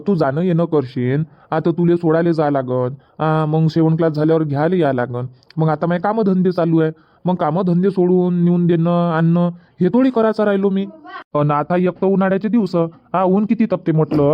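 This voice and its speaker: A man's voice: a little high, muffled recording, lightly acted. A man voicing an easygoing young husband in a comedy cartoon, who reassures his wife and keeps finding excuses. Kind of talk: Marathi comedy cartoon dub.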